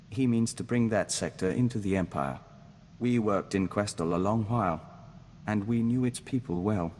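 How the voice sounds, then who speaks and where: An actor playing a calm, dutiful diplomat bound to a hive mind, speaking formally in video game dialogue. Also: male voice, low-pitched, big-room sound, slight background noise. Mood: calm, polite. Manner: formal, measured, speaks of himself as we.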